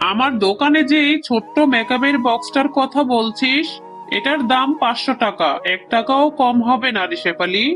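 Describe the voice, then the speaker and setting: A woman's voice: a little high, moderate pace, fairly bright tone, soft background music, lightly acted. A woman voicing a haughty tigress shopkeeper in a children's cartoon, snobbish and scornful. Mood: boastful.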